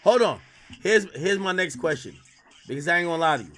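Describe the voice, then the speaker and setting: A man in his thirties hosting a casual livestream chat, animated and talking over his guest, often repeating himself. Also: on the high side, a little fast. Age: 30s. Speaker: streamer host.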